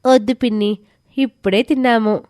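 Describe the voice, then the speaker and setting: A woman's voice: fairly high pitch, steady tone, normal speed, a little mellow, no echo, studio quality, natural voice. A woman voicing a polite, earnest, reserved village girl in a scripted moral story. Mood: polite, modest.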